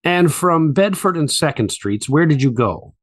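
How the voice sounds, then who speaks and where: A man's voice: fairly high pitch, lively intonation, measured pace. A man playing a methodical, probing 1890s prosecuting attorney, questioning formally and precisely in a dramatized trial reading. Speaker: voice actor reading a trial transcript. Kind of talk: dramatized courtroom transcript reading, examination.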